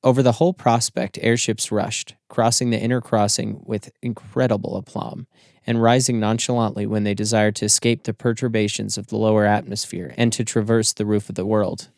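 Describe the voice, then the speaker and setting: A man narrating a literary story as an audiobook, in a measured, formal storytelling manner. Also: on the low side, little pitch movement, vocal fry, a little mellow, dry recording, studio quality.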